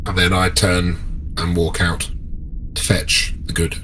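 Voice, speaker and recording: A man's voice: low-pitched, little pitch movement, unhurried, bright, forceful tone, poor audio. A man playing a tabletop role-playing game, bantering and describing his character's actions casually. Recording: faint background noise.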